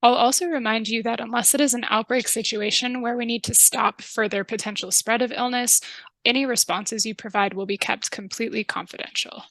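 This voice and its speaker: A woman narrating a training video and playing a calm, reassuring public health interviewer. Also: a little high, a little fast, gravelly, no room sound.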